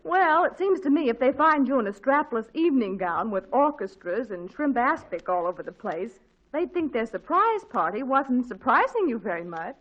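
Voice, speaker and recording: Wry, deadpan mother. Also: high voice, normal speed. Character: dry, patient middle-aged mother. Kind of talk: 1940s radio comedy acting. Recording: muffled 1940s radio recording.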